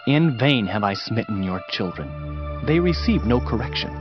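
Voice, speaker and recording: A man's voice: medium pitch, animated, normal speed. A man narrating a dramatized scripture reading in a solemn, declamatory manner. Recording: dull band-limited audio, music behind. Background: music.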